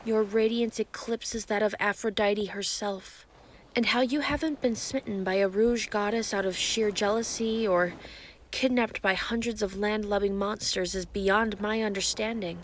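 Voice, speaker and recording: A woman playing an ancient, giant female sea creature, calm and grandiose, flirting possessively in an intimate roleplay monologue. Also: mid-range voice, moderate pace, slight background noise, natural voice. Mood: teasing adoration. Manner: calm, teasing, grandiose, formal wording.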